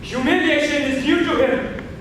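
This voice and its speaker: A man plays an ambitious, calculating prince who gives clipped commands. His voice is very high for a man, measured and cold. The stage sound is distant and heavily echoing. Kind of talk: stage play acting. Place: theatre stage, recorded from far off. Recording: distant, echoey, noisy stage recording.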